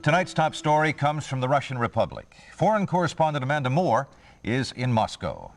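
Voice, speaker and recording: A man's voice: medium pitch, sing-song, a little fast. A formal male evening news anchor in a game. He is solemn and emphatic, with very sweeping intonation. The sound is a little distant, over faint music. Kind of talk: scripted newscast in a game.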